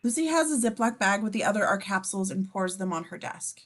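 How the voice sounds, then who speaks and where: A woman's voice: mid-range voice, animated, fast talker, fairly bright tone. A woman reading scene descriptions in a casual amateur script read-through, as the narrator.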